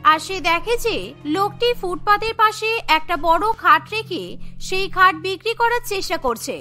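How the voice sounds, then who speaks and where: A woman narrates a cartoon story in a very high-pitched voice. Her tone is bright and her delivery scripted. Soft music plays behind her. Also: lively intonation, measured pace.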